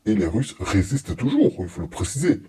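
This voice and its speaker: Deep-voiced, mellow man giving blunt reaction commentary. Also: wildly swooping pitch, fairly quick. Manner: blunt, dismissive, exasperated, colloquial. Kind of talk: informal opinionated reaction commentary.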